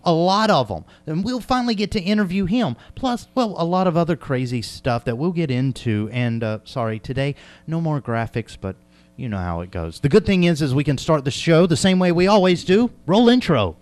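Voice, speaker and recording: A man's voice: a little high, sing-song, fairly quick, a little mellow, dry recording. A man hosting a casual comedic radio interview, with joking, self-deprecating banter. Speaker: radio show host.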